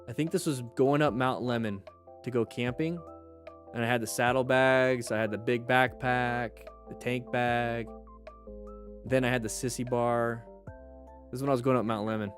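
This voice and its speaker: A man hosting a livestream, chatting casually with viewers in a blunt, joking, animated manner. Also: medium pitch, a little slow, pauses often, soft background music. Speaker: livestream host; firefighter.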